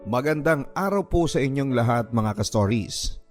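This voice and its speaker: A man's voice: mid-range voice, animated, normal speed. A man with a Filipino accent reading listener letters as a radio storyteller and host. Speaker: host and narrator of a story show. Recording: background music under the voice. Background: music.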